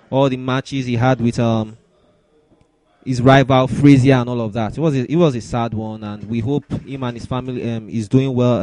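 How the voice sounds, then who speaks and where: A man hosting a sports talk show, introducing topics and commenting with halting, repeated phrases. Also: mid-range voice, mellow, no echo, rough recording. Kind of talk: sports discussion, introducing segments.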